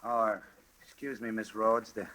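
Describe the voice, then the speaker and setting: An actor playing a falsely polite, menacing night elevator operator in 1940s radio drama, with deliberate, insinuating courtesy. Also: male voice, lowish voice, little pitch movement, pushed and bright, no echo, rough recording. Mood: apologetic.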